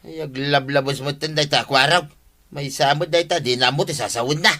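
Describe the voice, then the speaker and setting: An actor playing a cheeky, scheming village vendor, brash and wheedling, in a comedic radio drama. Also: male voice, a little high, steady tone, brisk, bright, forceful tone. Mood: brash, dismissive.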